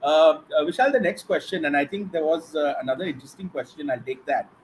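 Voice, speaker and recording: A man's voice: on the high side, quick pace, poor audio. A man with an Indian accent hosting and moderating a live question-and-answer show. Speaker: host. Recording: faint background noise.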